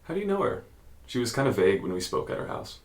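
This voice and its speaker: An actor playing a gentle, curious podcast investigator in an audio drama, asking considerate, softly probing questions in a naturalistic interview style. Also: male voice, mid-range voice, big pitch swings, quick pace, close to an everyday voice.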